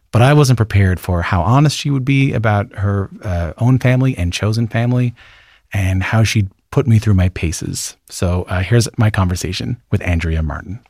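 A man hosting an interview in a friendly, joking conversational way, with quick asides. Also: fairly low pitch, darkish tone, no echo, studio quality. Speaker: radio host.

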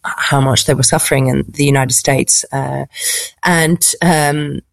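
A woman's voice: rumbling low, steady tone, a little slow, gravelly, no echo. A woman with a posh English accent, a stand-up comedian chatting in an interview with frequent laughs and restarts. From England. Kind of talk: casual interview.

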